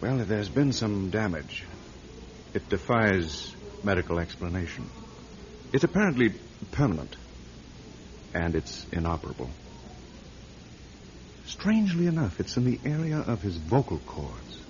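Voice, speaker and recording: An actor playing a brisk, earnest hospital doctor in a radio drama, formal and authoritative. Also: male voice, on the low side, big pitch swings. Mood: grave, measured. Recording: dull radio recording with faint noise.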